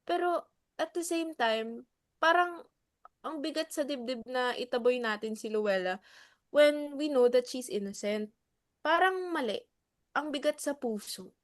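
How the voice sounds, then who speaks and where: A woman's voice: high voice, lively intonation, measured pace, long pauses, no echo, studio quality. A woman with a Filipino accent playing a hesitant, peacemaking club member in a dramatic reading. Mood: torn. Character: hesitant, conciliatory clubwoman keeping the peace.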